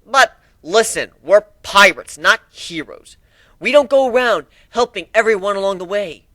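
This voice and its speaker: Brash griffin pirate captain, acted by a man: raised pitch, forceful, sarcastic, exuberant and taunting, dramatic story reading. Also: lively intonation, unhurried, dry recording, exaggerated voice.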